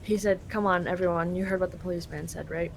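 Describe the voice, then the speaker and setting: A woman's voice: fairly low pitch, fairly even intonation, fast talker, gravelly, slight background noise. A woman from Canada casually translating a game live, paraphrasing loosely with lots of fillers. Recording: game music behind.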